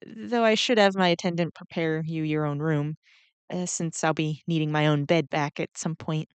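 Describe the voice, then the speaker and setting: Gentle, flustered ant queen, voiced by a woman. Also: deep voice, fairly even intonation, normal speed, smooth, dry recording, natural voice. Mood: apologetic, welcoming. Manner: gentle, hesitant, rambling with small nervous laughs. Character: kindly, flustered giant four-armed ant queen. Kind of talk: scripted roleplay audio drama, addressing the listener.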